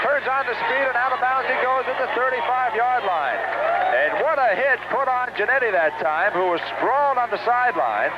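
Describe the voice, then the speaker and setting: Dull noisy 70s radio recording, american guy doing football play by play, high voice, short detailed phrases. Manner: detailed running description in short clipped phrases. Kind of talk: live high school football radio commentary. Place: outdoor stadium game broadcast. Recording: noisy muffled 1970s radio broadcast.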